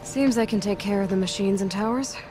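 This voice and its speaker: Actress as young hunter heroine, practical, dry delivery, medium pitch, game music behind her. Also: brisk, natural voice. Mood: curious, businesslike. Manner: matter-of-fact, questioning, dry. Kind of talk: video game dialogue acting.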